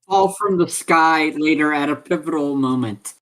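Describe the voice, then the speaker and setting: A man's voice: fairly high pitch, normal speed, fairly bright tone, low-quality recording. A man playing a tabletop game, chatting casually with the group. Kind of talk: casual chat.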